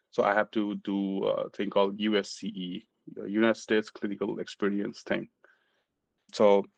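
Gravelly low-voiced man with Nepali accent. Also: no echo. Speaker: podcast guest, medical student. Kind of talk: personal storytelling in an interview.

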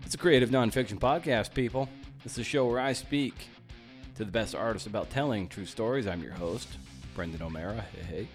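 A man's voice: on the low side, brisk, soft background music. Male podcast host, punchy and playful. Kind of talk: podcast intro and interviewing.

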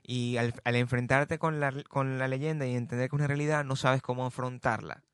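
A man chatting with friends, full of playful energy and fond of repeating his punchlines. His voice is forceful and tense-edged. The sound is close and dry. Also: medium pitch, brisk, clean tone, dry recording. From Venezuela. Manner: animated, jokey, repeats phrases for emphasis. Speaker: musician and actor. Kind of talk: casual group chat.

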